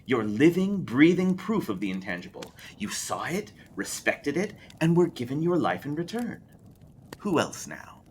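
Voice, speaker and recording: A man's voice: fairly high pitch, very animated, moderate pace, slight background noise, voice pushed up. Man voicing a creepy, needling, swooping stranger. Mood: mocking. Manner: probing, mocking questions. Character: creepy, needling, smiling thin stranger, male. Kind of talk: audiobook-style character voice in a horror story.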